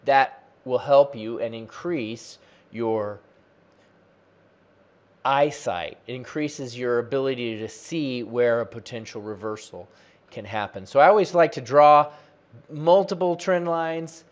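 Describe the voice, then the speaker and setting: A man's voice: mid-range voice, slow pace. A man in his forties teaching trading off a chart in a plain explanatory way, speaking close into a desk mic from a small home office. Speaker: trading educator. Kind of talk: screen-share chart tutorial. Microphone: close desk mic, webcam framing.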